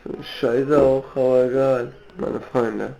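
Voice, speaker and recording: A man rambling casually in a halting, slangy and crude way. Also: medium pitch, little pitch movement, vocal fry, background noise.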